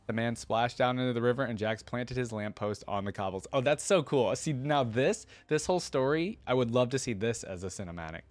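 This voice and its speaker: A man's voice: medium pitch, fairly quick, hardly any pauses, no room sound. A man reading a fantasy story aloud and adding enthusiastic, informal fan commentary as a reaction video host.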